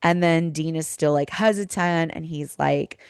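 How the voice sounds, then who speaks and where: Low, clear-voiced woman chatting on a podcast. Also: measured pace, darkish tone, dry recording, clean studio sound. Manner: chatty, informal, peppered with like. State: losing voice from teaching. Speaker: podcast host; school teacher. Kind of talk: casual chat and informal episode recap.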